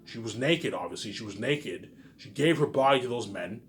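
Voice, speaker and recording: A man in an unscripted, repetitive and halting monologue to viewers, sounding aggrieved and letting phrases trail off quietly. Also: mid-range voice, animated, a little hiss or hum. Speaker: online vlogger.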